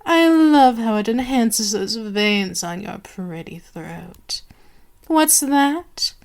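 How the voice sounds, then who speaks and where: A woman voicing a sadistic, possessive vampire queen in an ASMR roleplay, with a haughty, teasing, drawn-out delivery. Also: fairly high pitch, animated. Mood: cruel, commanding.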